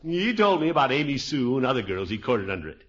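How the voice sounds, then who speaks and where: An actor playing a pompous but soft-hearted middle-aged uncle who blusters and frets theatrically in a 1950s radio comedy. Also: male voice, mid-range voice, sing-song, fast. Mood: reflective. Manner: theatrical, blustering, with mutters and exclamations. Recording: muffled, noisy vintage 1950s radio recording.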